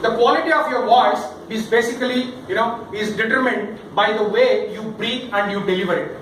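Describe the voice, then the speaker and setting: A man's voice: sits high, normal speed. A man leading an interactive public-speaking lesson as a workshop trainer, with a theatrical, emphatic delivery full of questions. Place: indoor session room with audience. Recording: echoey room, distant mic, background noise.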